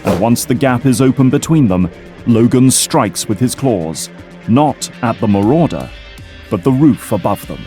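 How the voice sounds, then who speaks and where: A man's voice: mid-range voice, animated, measured pace, dark tone. A man giving dramatic scripted narration for an audio drama. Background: dramatic music.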